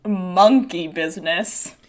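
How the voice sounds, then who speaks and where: Woman playing an excitable, gushing musical-theatre young woman. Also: fairly low pitch, takes it slowly. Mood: teasing. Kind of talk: amateur stage script read-through.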